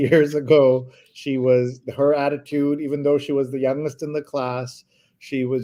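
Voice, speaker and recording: A man with a mid-pitched voice hosts a casual live show. He is chatty, pausing and stepping aside from his sentences as he goes. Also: mellow. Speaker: talk show host.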